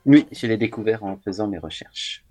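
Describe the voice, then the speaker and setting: Measured man reading quiz questions. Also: on the low side, lively intonation, warm tone, faint music behind. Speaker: quiz host. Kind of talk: podcast quiz chat.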